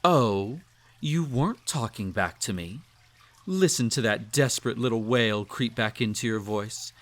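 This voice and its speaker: A man's voice: medium pitch, natural voice. A man playing a cruel, possessive emperor in a roleplay audio, speaking with haughty, silky condescension. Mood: cold, menacing. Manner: haughty, condescending, drawn-out teasing delivery with soft chuckles. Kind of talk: roleplay audio acting, intimate second-person monologue.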